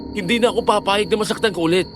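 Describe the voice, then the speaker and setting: A man's voice: high-pitched, rapid-fire, background noise, close to an everyday voice. An actor playing a protective, determined young boyfriend in an audio horror drama, firm in his manner. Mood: angry resolve. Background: music.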